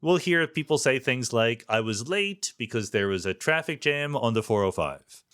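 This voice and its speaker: A man's voice: medium pitch, animated, normal speed, a bit bright, smooth. A man with an American accent speaking as a podcast host, introducing and discussing ideas in a conversational way.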